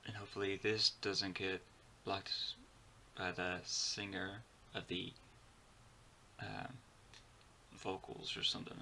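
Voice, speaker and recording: Low, gravelly young man reacting casually. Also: bright, forceful tone, a little hiss or hum. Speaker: reaction video host. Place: bedroom, indoors.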